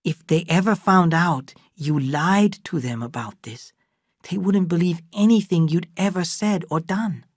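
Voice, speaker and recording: A man doing a calm, wise household servant. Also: high voice, unhurried, no echo, clean studio sound, pitched above the performer's own voice. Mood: thoughtful.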